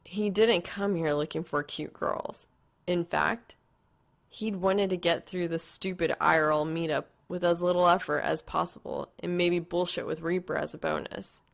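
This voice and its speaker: A woman narrates fan fiction from a script. Her voice sits on the low side and has a clearly creaky, gravelly edge, as she reads the story through. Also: fairly even intonation, normal speed.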